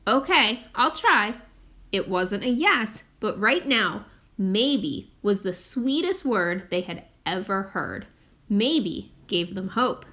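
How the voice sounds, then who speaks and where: A woman reading a children's picture book aloud in an expressive, deliberate storytelling manner with drawn-out emphasis. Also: medium pitch. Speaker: storytime reader.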